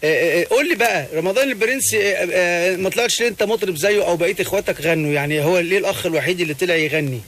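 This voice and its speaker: A man hosting a radio show, interviewing callers by phone in quick, restless talk full of fillers and restarts. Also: high voice. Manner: fast, animated, many fillers and restarts. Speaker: radio host.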